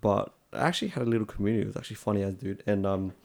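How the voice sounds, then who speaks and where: A man's voice: on the low side, fairly even intonation, racing, creaky, a little mellow. A young man with a strong Australian accent, talking in a laid-back casual chat full of slang and "like". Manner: laid-back slangy talk, lots of "like" and "bro".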